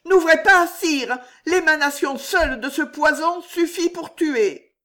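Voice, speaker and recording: Woman reader does a scheming young court lady: high, breathless, emotional. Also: slow, fairly bright tone. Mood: alarmed.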